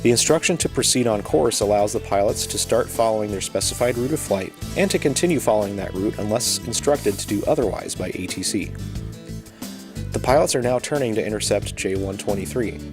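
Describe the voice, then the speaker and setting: A man with a fairly low voice delivers scripted educational narration. He explains in a measured, orderly way, with music playing clearly behind him.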